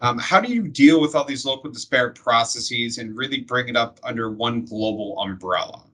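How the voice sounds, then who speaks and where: A man's voice: medium pitch, moderate pace, pushed and bright. A man speaking as an industry expert, explaining things conversationally in plain, step-by-step terms.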